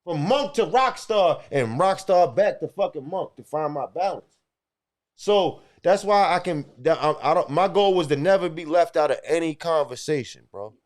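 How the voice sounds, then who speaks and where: A man with a high voice for a man rambles in podcast banter. He is boastful, repeats himself and swears freely. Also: lively intonation, normal speed. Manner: boastful, rambling, repetitive, profane. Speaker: podcast co-host.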